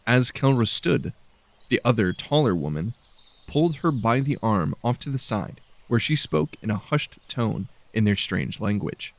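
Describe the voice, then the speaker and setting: A man's voice: medium pitch, animated, a little slow, gravelly. A man reading a scripted science fiction story as an audiobook narrator.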